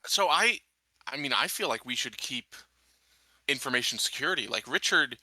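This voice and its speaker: A man's voice: medium pitch, expressive, moderate pace, sharp tone, dry recording, rough recording. A man playing a tabletop roleplaying game, reasoning aloud casually with many qualifiers.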